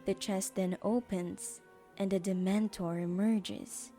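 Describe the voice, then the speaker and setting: A woman's voice: medium pitch, steady tone, slow pace, warm tone, soft background music. A woman narrating an audio drama in a scripted storybook style.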